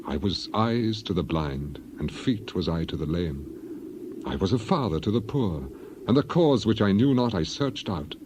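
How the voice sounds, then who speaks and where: A man's voice: lowish voice, noisy background. A man voicing a grieving, dignified biblical elder in a solemn, lamenting, declamatory dramatized reading.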